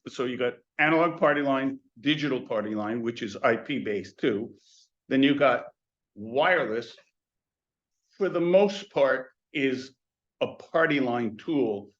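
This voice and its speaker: Older man rambling through a dull webinar. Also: medium pitch. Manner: rambling, many restarts and fillers. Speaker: intercom industry educator. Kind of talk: webinar presentation.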